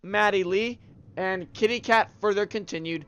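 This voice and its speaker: A man's voice: sits high, normal speed, clear, resonant tone. A young man hosting a game video, chatting casually and upbeat with fillers, sounding stuffed up from allergies. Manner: upbeat, chatty, shout-outs with many fillers. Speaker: gaming channel host. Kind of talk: casual let's-play commentary.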